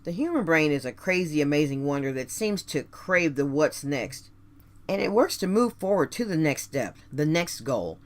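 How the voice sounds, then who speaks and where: A woman hosting a radio show, delivering a scripted motivational monologue straight to listeners in deliberate, emphatic phrases with rhetorical questions. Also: low-pitched, very animated.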